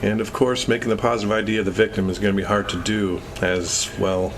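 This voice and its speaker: A man's voice: on the low side, level tone, fairly quick, noisy background, natural voice. A man playing a disheveled, matter-of-fact morgue technician in an audio drama, explaining things in clinical terms.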